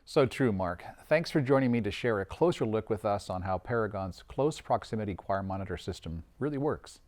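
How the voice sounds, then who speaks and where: A man's voice: on the low side, expressive, a little fast, darkish tone. A man hosting a scripted promotional interview, presenting as an audio and video designer.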